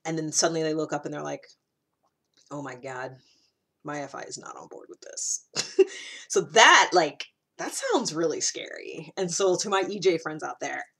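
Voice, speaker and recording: A woman's voice: low-pitched, lively intonation, normal speed, vocal fry, a bit bright. A woman talking casually and candidly in a personal vlog, rambling conversationally and peppering her sentences with "like". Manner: candid, self-reflective. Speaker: video host.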